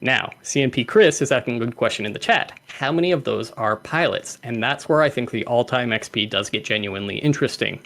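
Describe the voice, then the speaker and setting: A man's voice: mid-range voice, brisk, gravelly, few pauses, fairly bright tone. A young man hosting a livestreamed community call, reading out names and laughing often. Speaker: host.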